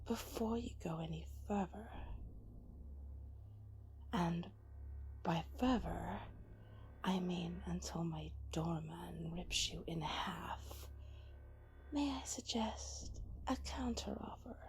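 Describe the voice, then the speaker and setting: A woman playing a cunning, vengeful female spirit of darkness in an ASMR roleplay, speaking in a breathy, taunting, deliberate and persuasive way. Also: fairly low pitch, a little hiss or hum. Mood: mocking, menacing. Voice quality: breathy whisper. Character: cunning vengeful female dark spirit, seductive punisher of the guilty.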